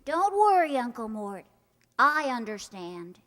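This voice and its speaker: A woman's voice: a little high, sing-song, slow, a bit bright, full character voice. A woman playing a dreamy, affectionate, innocent young niece, with a wistful, earnest, theatrical delivery in old-time radio comedy style. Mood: amused, fond. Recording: echoey hall with long reverb.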